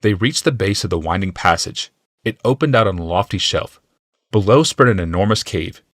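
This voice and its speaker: Low-pitched man narrating a fantasy audiobook. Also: lively intonation, moderate pace, fairly bright tone, no echo, clean studio sound. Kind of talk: scripted dramatic fantasy narration.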